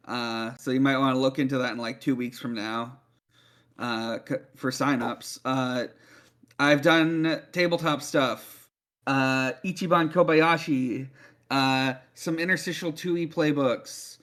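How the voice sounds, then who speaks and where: Man, casual mid-pitched podcaster. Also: fairly even intonation, unhurried, a bit bright. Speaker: podcaster and performer. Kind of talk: casual outro plugs.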